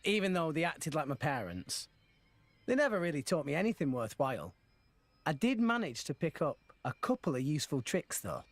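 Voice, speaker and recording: A man's voice: a little high, big pitch swings, brisk, no room sound. A man voicing an earnest, upbeat teenage boy salvager in a video game, eager and plain-spoken.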